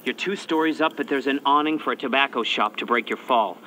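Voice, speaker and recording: An actor playing a brisk male spy agency handler who gives crisp, urgent instructions in scripted game dialogue. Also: medium pitch, sharp tone, slight background noise, close to an everyday voice, rough recording. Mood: relieved. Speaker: mission handler.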